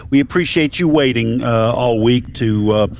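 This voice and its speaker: A man in a mid-range voice co-hosts a call-in radio show. He talks in an easy, friendly way, steers the caller and tosses in trivia jokes. The talk is plain and unhurried. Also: mid-range voice, vocal fry, darkish tone. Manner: friendly, moves the call along. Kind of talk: casual radio banter.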